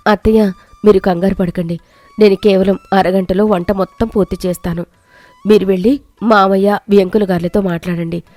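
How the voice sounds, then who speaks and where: A woman voicing a calm, capable young village daughter-in-law in an animated storybook. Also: medium pitch, normal speed, no room sound, natural voice. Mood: reassuring.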